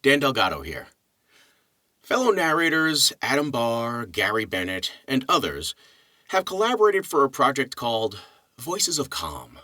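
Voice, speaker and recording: Slow, very animated man reading literature aloud. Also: mid-range voice, no echo, studio quality. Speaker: narrator and literature teacher. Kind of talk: poetry reading with casual introduction.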